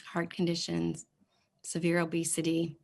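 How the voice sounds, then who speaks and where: Woman, lowish voice, frustrated, sarcastic, halting, hearing room. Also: monotone, creaky. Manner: exasperated, sarcastic questioning, halting with many fillers. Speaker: state senator at a committee hearing. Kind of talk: committee testimony, reading off a website form.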